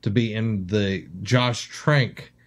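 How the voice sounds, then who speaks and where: A man's voice: on the low side, slow. Bearded man reading geek news. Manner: jokey, reads articles in a steady news tone. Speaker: podcast co-host. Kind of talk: casual podcast chat, reading news aloud. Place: small studio with city-skyline backdrop.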